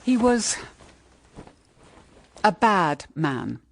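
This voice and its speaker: A woman's voice: medium pitch, sing-song, creaky, a little hiss or hum, heavily acted. Grave British mother, expressively modulated voice. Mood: bitter, solemn. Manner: measured, earnest, formal phrasing. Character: grave, pragmatic widowed mother guarding her daughters. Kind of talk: period radio drama acting.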